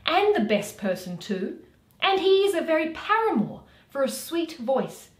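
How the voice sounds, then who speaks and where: A woman as earnest Shakespearean tradesman, high-pitched. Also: big pitch swings, unhurried. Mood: anxious. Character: anxious, earnest Athenian carpenter leading amateur players, Quince. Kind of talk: Shakespeare read aloud as solo performance. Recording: roomy home recording.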